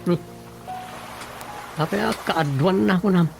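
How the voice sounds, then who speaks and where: A man's voice: high-pitched, slow pace, creaky, heavily acted. An actor playing a practical, inquisitive village councilman in a conversational comedic radio drama, with an Ilocano accent and music behind him.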